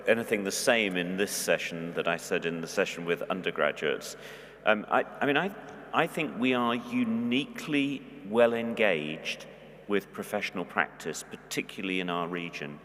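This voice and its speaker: A senior academic man answering a question on a panel, measured with frequent hesitations. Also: low-pitched, fairly bright tone. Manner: measured, hesitant, many fillers. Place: large hall on stage. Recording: long hall reverb.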